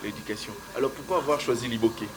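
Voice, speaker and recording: A man hosts a casual talk show from an open-air straw-hut restaurant. He talks in a hesitant, roundabout way, full of fillers and restarts. A crowd can be heard clearly behind him. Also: medium pitch, fast talker, rough recording. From Congo. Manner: hesitant, many fillers and restarts, chuckles often. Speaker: host.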